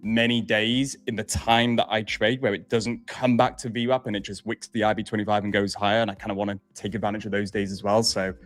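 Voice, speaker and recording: Low-voiced man explaining trades. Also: fairly quick, few pauses, faint music behind. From Wales. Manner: explains stats plainly, relaxed banter. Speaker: trading stream host. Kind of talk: casual live-stream chat.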